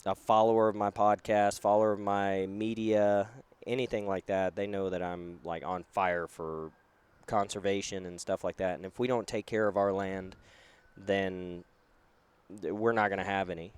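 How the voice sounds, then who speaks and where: A man with a fairly low, smooth, clear voice hosts a casual podcast interview. He rambles loosely and fills his pauses with uh and um. He is recording at a trade show. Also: steady tone. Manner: loose, rambling with many fillers. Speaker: podcast host.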